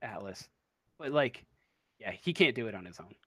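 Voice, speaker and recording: A game master narrating a tabletop roleplaying game in a casual, halting, conversational way. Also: medium pitch, animated, slightly rough, no room sound.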